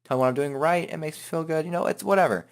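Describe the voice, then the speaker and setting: A man hosting a casual, improvised software tutorial, talking in an informal, rambling way. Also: on the high side, rapid-fire, gravelly, smooth. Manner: informal, rambling, hums filler syllables while working. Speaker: tutorial host.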